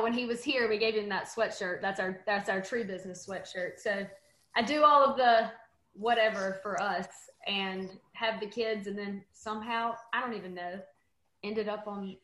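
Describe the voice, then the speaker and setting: A woman's voice: mid-range voice, a bit bright, echoey room. A woman in her late thirties, a guest on a casual panel, talking candidly and rambling a bit. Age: 30s. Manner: candid, rambling, laughs easily.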